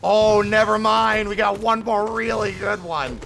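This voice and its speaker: Bright, forceful male game streamer. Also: high voice, steady tone, unhurried, gravelly. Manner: sweary, exasperated exclamations. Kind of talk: live gameplay commentary. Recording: background noise under the voice.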